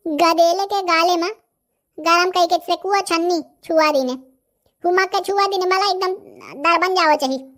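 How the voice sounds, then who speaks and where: A sly, pompous village baba hands out brusque orders in a Bundelkhandi accent. The cartoon voice is pitched up extremely high, almost childlike. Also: male voice, fairly even intonation, measured pace, pushed and bright, full character voice. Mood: scheming, advising. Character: bossy, sly village holy man in a comedy cartoon. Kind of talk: rustic comedy cartoon dialogue.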